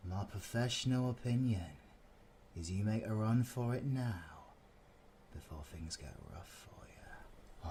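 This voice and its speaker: A British man playing a cocky, menacing supervillain in an audio roleplay, weary and flirtatiously taunting, often dropping into breathy murmurs. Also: on the low side, slight background noise, close to an everyday voice. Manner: slow, teasing, theatrical, with pet names. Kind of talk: audio roleplay acting, villain ASMR.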